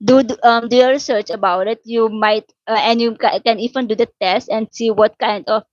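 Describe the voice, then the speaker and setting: A woman in her twenties from Suriname, whose first language is Dutch, chatting casually with viewers, unhurried and with many fillers and restarts. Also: a little high, fairly even intonation, dry recording, dull audio. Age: 20s. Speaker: livestream host answering viewer questions. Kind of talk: casual chat.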